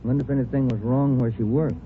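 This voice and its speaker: A man playing an easygoing, steady police detective in a 1940s radio drama, asking questions in a conversational way. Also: medium pitch, fast, soft background music, close to an everyday voice. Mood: musing. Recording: tinny, slightly noisy 1940s radio recording.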